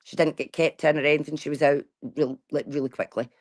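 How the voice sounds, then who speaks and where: Blunt, lower-voiced woman in her forties. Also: steady tone, fast, darkish tone. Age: 40s. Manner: blunt, outspoken, swears freely. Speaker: vlogger. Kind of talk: casual chat.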